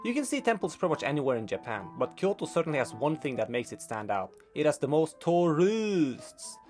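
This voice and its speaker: A young man from Norway narrating his own vlog in a scripted voice-over with dry, deadpan humor. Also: a little high, a little fast, soft background music. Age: young adult. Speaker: vlogger narrating his life.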